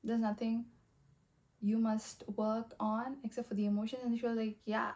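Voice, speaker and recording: A young woman talking in a rambling, earnest way, full of fillers, with a soft voice that has a slight husky edge. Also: a little high, flat delivery, normal speed. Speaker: vlogger. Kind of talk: casual motivational monologue.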